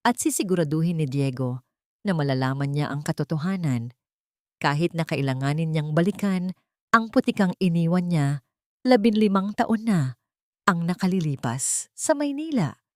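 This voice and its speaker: Low-voiced woman narrating a dramatic story. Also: lively intonation, normal speed, long pauses, darkish tone, no room sound, studio quality.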